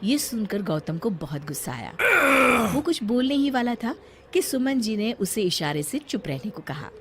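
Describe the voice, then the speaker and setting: A woman's voice: medium pitch, big pitch swings, moderate pace, a little mellow, a little hiss or hum. A woman narrating a scripted story as a storyteller.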